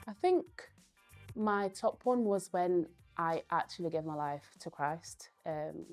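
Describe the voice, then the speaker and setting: A young woman, a Spanish speaker from Spain, giving earnest, thoughtful interview answers and pausing often to gather her words. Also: fairly low pitch, expressive, darkish tone, faint music behind. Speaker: guest, powerlifter.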